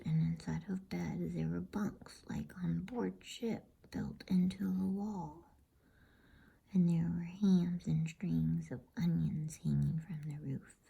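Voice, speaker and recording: A woman storyteller reading a bedtime story in ASMR style with a soft, hushed whisper. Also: deep voice, vocal fry, breathy edge. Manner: soft whispering, soothing hush.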